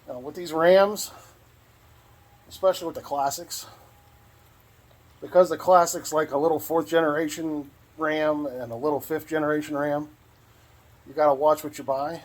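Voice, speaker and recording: A man's voice: a little high, steady tone, moderate pace, pauses often. An American man giving a casual, unscripted walkthrough in a folksy, rambling way, often repeating himself. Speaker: vlogger showing his truck radio install. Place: outdoors in and around a parked truck. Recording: clear background noise, handheld outdoor video.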